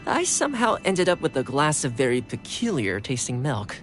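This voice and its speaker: An actress playing a sly, admiring retainer to a lord in a game, speaking with smooth, teasing deference. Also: female voice, extremely low pitch, sing-song, moderate pace, soft background music. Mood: teasing, wry. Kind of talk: scripted game dialogue.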